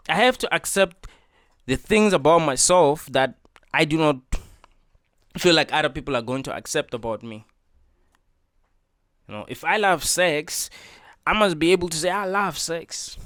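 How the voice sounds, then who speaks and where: A man's voice: fairly high pitch, a bit bright, no echo, studio quality. A man hosting a reaction video, talking in an earnest, preachy way and repeating his points with lots of filler words. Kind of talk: casual opinion monologue.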